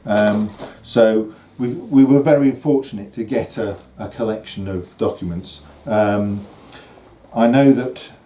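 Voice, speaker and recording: A man with a low, mellow voice leads a group through the rooms of an old house, chatting casually with frequent ums and restarts. The rooms give his voice a roomy echo. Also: measured pace, a little hiss or hum. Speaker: house owner giving a guided tour. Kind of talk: casual guided tour. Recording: roomy indoor sound.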